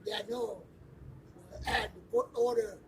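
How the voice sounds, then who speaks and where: A man's voice: sits high, fairly even intonation, measured pace, slight background noise. A man from Vietnam with a Vietnamese accent, a security guard giving short directions in broken English outdoors. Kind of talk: casual street exchange. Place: outdoors on a city street.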